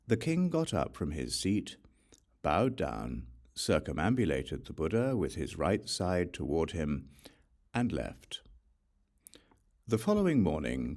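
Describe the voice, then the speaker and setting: A man reading Buddhist scripture as a scripted audiobook narration, in a measured, solemn way with deliberate phrasing. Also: sits low, darkish tone.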